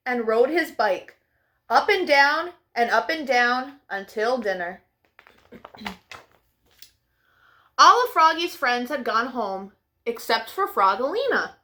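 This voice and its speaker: A woman's voice: fairly high pitch, very animated, slow, long pauses, pushed and bright. A woman hosting a children's storytime, reading picture books aloud expressively, with playful sound effects. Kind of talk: animated picture-book read-aloud for young children.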